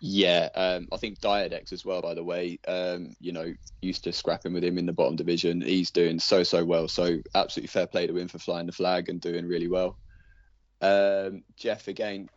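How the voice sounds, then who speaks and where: A man's voice: deep voice, no room sound, dull audio. A man giving laid-back, casual commentary as a podcast panellist. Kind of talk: casual commentary on league standings.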